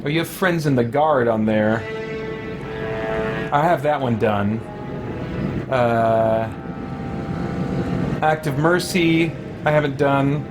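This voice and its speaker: A man streaming a game, chatting casually and thinking aloud in a halting, musing way. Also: medium pitch, music in the background. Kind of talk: casual live gaming stream chat.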